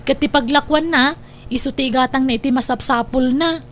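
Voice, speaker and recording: A woman's voice: sits high, a little fast, slight background noise, natural voice. A woman with an Ilocano accent playing an adult daughter in a family audio drama. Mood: earnest. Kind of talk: Ilocano radio-style family drama acting.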